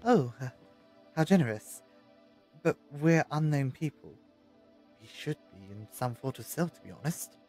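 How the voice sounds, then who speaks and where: A man's voice: mid-range voice, animated, a little slow, long pauses, mellow, soft background music. A man playing an earnest, politely anxious scientist adventurer in an audio drama, stammering a little. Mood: hesitant.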